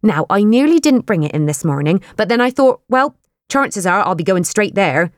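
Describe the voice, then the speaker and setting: A woman's voice: mid-range voice, sing-song, dry recording, clean studio sound, lightly acted. A woman voicing a chatty, kindly older woman coworker who fusses in a motherly way. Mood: fussing. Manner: brisk, motherly, fussing.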